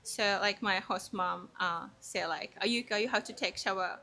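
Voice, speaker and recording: Japanese lady, bright forward voice, japanese accent, kinda halting english, casual interview answers. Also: medium pitch. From Kobe, Japan. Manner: halting, searching for words in second-language English. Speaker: interview guest.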